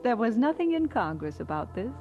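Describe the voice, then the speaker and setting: A woman's voice: a little high, very animated, brisk, highs cut off, soft background music. An actress playing an affectionate, teasing young woman engaged to be married, in an audio drama. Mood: fond, reflective. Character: affectionate, teasing young bride-to-be who also narrates warmly.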